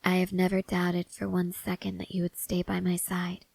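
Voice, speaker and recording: A woman playing a stoic, devoted young woman soldier in an intimate ASMR roleplay, speaking softly and hesitantly, often half-whispering. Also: fairly low pitch, monotone, moderate pace, creaky, slightly rough, no room sound. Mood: tender, quiet. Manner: hushed, hesitant, gently reserved.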